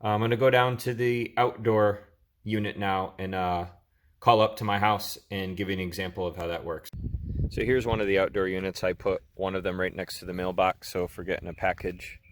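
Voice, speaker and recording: A man's voice: deep voice, little pitch movement, brisk. A man hosting an informal product review, talking in a casual, conversational way.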